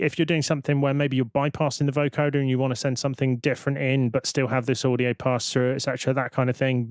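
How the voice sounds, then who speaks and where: A man giving an informal, step-by-step software demonstration as a tutorial presenter. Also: mid-range voice, dry recording, clean studio sound.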